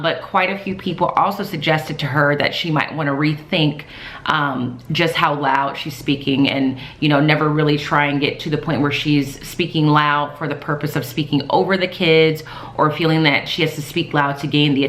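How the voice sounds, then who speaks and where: Teacher lady vlogging, soft calm voice, pretty low, kinda echoey room. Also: normal speed. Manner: soft-spoken, calm, lots of fillers. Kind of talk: casual vlog talk.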